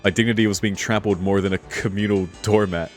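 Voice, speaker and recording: A man's voice: lowish voice, fairly even intonation, fairly quick, faint music behind. A man streaming a visual novel, reading it aloud and reacting in a casual, amused, informal way. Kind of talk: casual let's play commentary with read-aloud passages.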